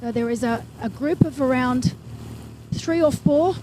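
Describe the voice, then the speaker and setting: A woman giving live play-by-play commentary on a triathlon in a brisk, enthusiastic way, with friendly asides. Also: sits high, noisy background. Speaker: race commentator.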